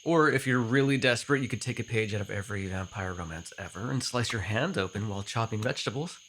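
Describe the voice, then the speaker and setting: A man playing a sarcastic vampire with a soft spot in an ASMR roleplay, talking in a teasing, conversational way with sighs and hesitations. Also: fairly low pitch, quick pace, a little hiss or hum. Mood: exasperated, teasing. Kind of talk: immersive ASMR roleplay acting.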